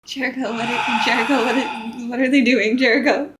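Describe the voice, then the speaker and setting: Woman as a high-voiced, distressed, loyal adventurer. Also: fairly even intonation, shouting, heavy background noise, lightly acted. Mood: alarmed. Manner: halting, emotional, repeats words. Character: distressed, loyal young adventurer devastated for a friend.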